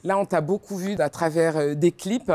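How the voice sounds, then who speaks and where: A man's voice: fairly high pitch, normal speed, crowd noise. A man hosting a TV talk show, effusive and enthusiastic as he praises his guest.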